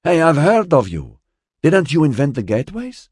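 A man voicing a gruff, cheery, weathered woman in her sixties with a northern Mediterranean accent in an audiobook. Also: on the high side, wildly swooping pitch, normal speed, darkish tone, no room sound, studio quality, voice pushed up, exaggerated voice. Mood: hearty, welcoming.

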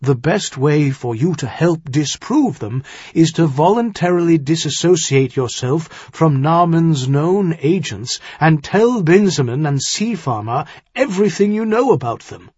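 A man's voice: on the high side, lively intonation, measured pace, darkish tone, breathy edge, no echo, dull audio, voice pushed up. A man voicing an earnest, exasperated older earl and worried father-in-law in an audiobook, urgent and insistent. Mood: careful, reluctant. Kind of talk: audiobook character dialogue.

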